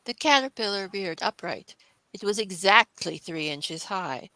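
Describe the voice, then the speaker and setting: A woman's voice: medium pitch, expressive, unhurried, sharp tone, no room sound, poor audio. A woman reading scripted storybook narration as the narrator of a classic tale.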